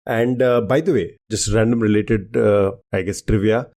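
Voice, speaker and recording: A man's voice: lowish voice, steady tone, normal speed, vocal fry, warm tone. A man whose first language is Hindi, co-hosting a podcast in casual, enthusiastic chat with lots of fillers and restarts. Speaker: podcast co-host.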